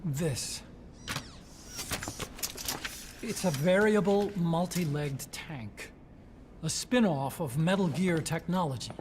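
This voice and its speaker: An actor playing a proud, self-important older weapons scientist in a video game, with a precise, lecturing manner. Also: male voice, on the high side, animated, measured pace, darkish tone, slight background noise. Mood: proud, explaining. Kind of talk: dramatic video game cutscene acting.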